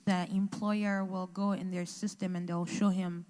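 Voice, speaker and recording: A woman interpreting consecutively into English, halting and restarting as she translates. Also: on the low side, flat delivery, darkish tone. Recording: echoey hall.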